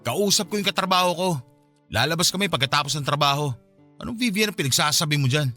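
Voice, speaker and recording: A man's voice: on the high side, lively intonation, fast talker, bright, forceful tone, faint music behind. A man acting an irritable, dismissive, resentful young husband in a Filipino radio drama, with a Filipino accent. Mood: defensive, irritated.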